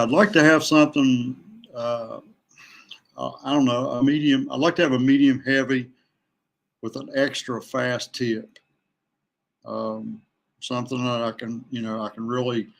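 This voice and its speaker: A man in his sixties chatting casually as a podcast guest, folksy and halting, often repeating himself. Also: mid-range voice, creaky. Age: 60s. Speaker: guest, veteran kayak angler. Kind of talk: casual fishing chat.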